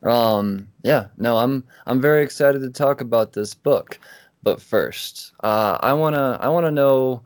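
A man's voice: medium pitch, no room sound. A man hosting a podcast interview, talking casually with frequent restarts and an upbeat tone. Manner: halting, restarts often, upbeat. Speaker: podcast host.

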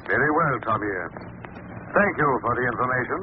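An actor playing a calm, knowing interrogator in an old radio drama, polite and probing. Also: male voice, medium pitch, brisk, close to an everyday voice. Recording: noisy, phone-like vintage radio recording.

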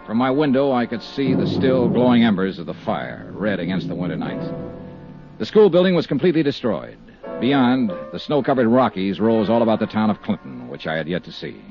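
An American actor playing a brisk, wry insurance investigator in a 1950s radio drama, narrating in clipped, dry phrases. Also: male voice, fairly low pitch, big pitch swings, over music. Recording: dull 1950s radio recording.

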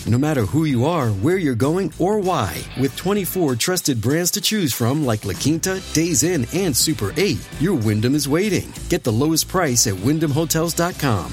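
A man's voice: a little high, expressive, moderate pace, hardly any pauses. A man reading a scripted advertisement as a commercial voice-over. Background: clear music bed.

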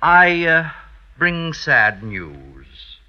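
A man's voice: a little high, sing-song, very slow, heavily acted. An actor playing a fawning, scheming male servant in a 1950s radio drama, obsequious, hesitant and theatrical. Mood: oily, mock sympathetic. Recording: dull vintage radio recording.

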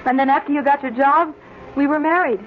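A devoted American wife with a high, plaintive voice played by an actress in vintage radio melodrama. She sounds tender and wounded. The recording is dull, with faint noise under it. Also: fairly even intonation, brisk, close to an everyday voice. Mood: tender, anxious. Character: devoted, wounded young wife, a former nurse. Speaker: radio drama actor. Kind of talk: old-time radio acting.

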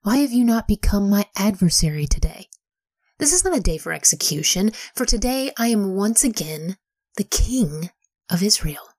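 A woman's voice: medium pitch, expressive, normal speed, no echo, clean studio sound, close to an everyday voice. An old king, as a woman whispers him. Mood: solemn. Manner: soft whisper. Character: ancient king, older man, commanding yet merciful.

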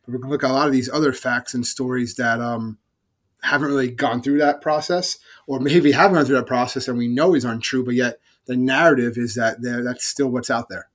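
A man's voice: medium pitch, fairly even intonation, a little fast. Easygoing teasing podcast co-host man. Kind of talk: casual chat.

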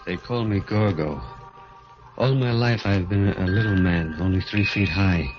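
A man's voice: sits low, lightly acted. A man voicing a timid, bitter adult male dwarf who narrates his own story and stammers when pleading, in a 1940s radio drama style. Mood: brooding. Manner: halting, stammering, pleading in dialogue. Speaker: narrator and lead character. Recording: dull old radio recording with music.

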